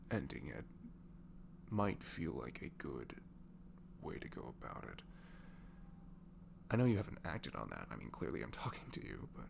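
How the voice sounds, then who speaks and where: A man with a very low, velvety voice talks intimately, as if leaving an ASMR comfort message for a friend. His pitch wanders expressively while his delivery stays gentle and halting, often falling to a murmur. Clear noise sits behind him on a homemade recording. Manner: gentle, halting, drifts into breathy murmurs, casual teasing.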